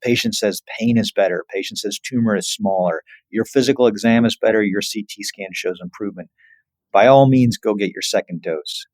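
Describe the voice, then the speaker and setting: A man's voice: fairly low pitch, fairly quick, darkish tone, no echo, poor audio. A man, a surgeon, explaining as an interview guest in an emphatic way with examples.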